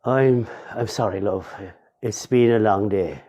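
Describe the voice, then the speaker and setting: An actor playing a grumpy, grieving older Irish father in a radio play, gruff and sardonic in manner. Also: fairly low pitch, measured pace, dark tone. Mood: gruff affection.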